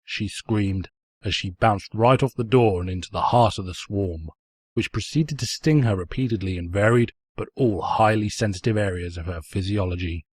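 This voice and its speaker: Husky, low-voiced man narrating with mock pomposity. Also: moderate pace, no room sound. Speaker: narrator, fan fiction reader. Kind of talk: theatrical scripted story narration.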